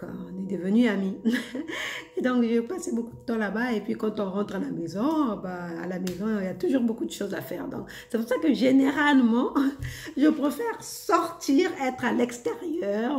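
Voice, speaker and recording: A woman's voice: on the high side, very animated, moderate pace, vocal fry, darkish tone, echoey room. A woman vlogger talking casually and reflectively to her viewers, hesitant with many fillers and frequent laughs. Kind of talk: casual spiritual monologue.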